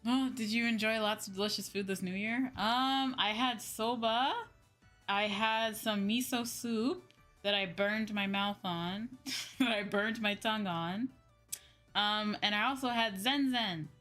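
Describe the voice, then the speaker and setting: A woman's voice: mid-range voice, a little slow. Young woman streamer chatting over music. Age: young adult. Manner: animated, exclamatory, self-quoting asides. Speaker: VTuber streamer chatting with viewers. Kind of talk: casual livestream chat. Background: background music.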